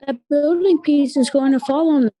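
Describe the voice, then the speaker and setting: A woman's voice: sits high, moderate pace, warm tone, natural voice. A woman playing a confident, direct young superheroine at an amateur script table read. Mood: urgent.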